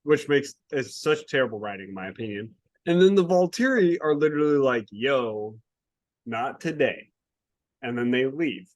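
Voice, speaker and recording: A man with an average-pitched voice chats as a podcast co-host. He rants with exasperation and swears casually. The sound is dry, with very little room on it. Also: expressive, moderate pace, dry recording. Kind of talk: casual book review chat.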